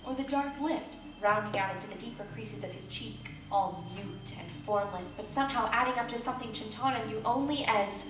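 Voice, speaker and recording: A woman's voice: medium pitch, fairly quick, hardly any pauses. Very echoey woman narrator on a stage. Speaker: reader in a live staged literary reading. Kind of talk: scripted theatrical narration. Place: theatre stage, live performance. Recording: very echoey live theatre, distant, noisy.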